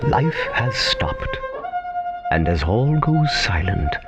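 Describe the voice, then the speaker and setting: An actor playing a whimsical, wistful storyteller narrating a radio fable theatrically, with deliberate dramatic pauses. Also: male voice, a little high, huge pitch swings, full character voice. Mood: wistful, expectant. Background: prominent music.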